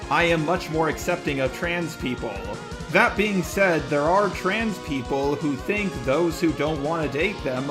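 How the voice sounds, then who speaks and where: A man hosting a video, giving scripted opinion commentary. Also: a little high. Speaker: video host. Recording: music behind voice.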